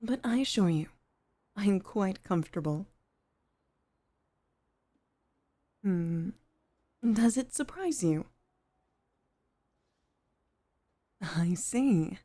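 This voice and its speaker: Woman doing a proper head maid girlfriend for asmr rp, real slow and soft warm tone, polite n measured, gently teasing. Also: mid-range voice, animated. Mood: gentle, welcoming. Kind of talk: intimate ASMR roleplay.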